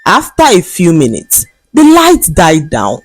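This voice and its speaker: A woman storyteller reads a scripted folktale with dramatic expression. Her voice sits a little low and moves through wide, animated swings of pitch. The recording is close and dry, with faint music underneath. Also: unhurried, dry recording. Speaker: storyteller, narrator. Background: faint background music.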